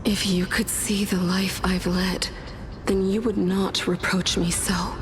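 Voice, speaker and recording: A woman playing a courteous, world-weary dead scholar in a fantasy game, with a breathy, resigned and philosophical delivery. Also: mid-range voice, level tone, measured pace, natural voice. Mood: bitter, weary. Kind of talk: dubbed fantasy game dialogue. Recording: orchestral game music behind.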